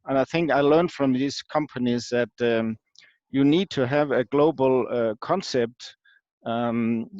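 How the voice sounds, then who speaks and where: A man from Denmark with a Danish accent, explaining as an expert interview guest in a halting, hesitant way with frequent ums and pauses. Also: mid-range voice, darkish tone, no room sound. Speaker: guest expert, marketing professor. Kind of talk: unscripted explanation in an online interview. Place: video call. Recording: dull lo-fi online call audio.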